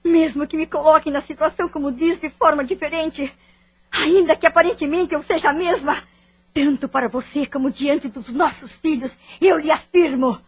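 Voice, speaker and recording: A woman's voice: high voice, lo-fi sound, natural voice. A woman acting in an audio drama with a Brazilian accent, playing a bitter, wounded wife and devoted mother in formal, melodramatic phrasing.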